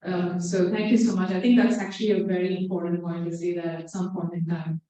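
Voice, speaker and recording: A woman moderating a conference panel, soft-spoken and hesitant with fillers. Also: lowish voice, flat delivery, a little fast. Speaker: session moderator. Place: conference hall. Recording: echoey hall from far off, dull.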